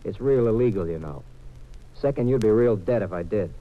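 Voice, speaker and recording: A man's voice: fairly low pitch, lively intonation, a little fast, close to an everyday voice. An American actor playing a streetwise, wisecracking young tough guy in a 1950s radio detective drama, with slangy, wry delivery. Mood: wry, cool. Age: young adult. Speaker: lead actor and narrator. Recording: muffled, noisy old radio recording.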